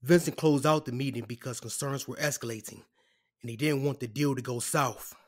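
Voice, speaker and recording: Man playing measured, formal male attorney. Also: medium pitch, natural voice. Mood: matter-of-fact. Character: composed, apologetic corporate defense lawyer delivering bad news. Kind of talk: audio drama acting.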